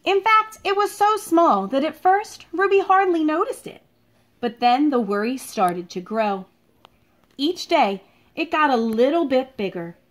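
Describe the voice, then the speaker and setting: High-pitched, expressive woman reading to children. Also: a little slow. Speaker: elementary school teacher reading a picture book aloud. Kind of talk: read-aloud for young children. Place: living room at home.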